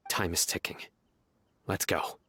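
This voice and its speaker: A man voicing a stern, stoic young investigator in a video game, speaking in a grave, measured way. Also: no echo. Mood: resolute. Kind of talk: video game character acting. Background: loud music.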